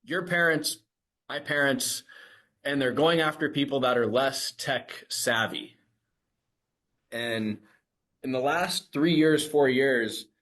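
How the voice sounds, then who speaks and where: A man's voice: medium pitch, fairly bright tone. A man co-hosting a podcast in casual conversation, earnestly warning about scams and acting out what scammers say. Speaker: podcast co-host.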